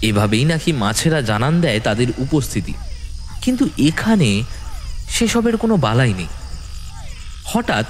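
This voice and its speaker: Expressive male horror story narrator. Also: medium pitch, a little slow, pauses often, slight background noise.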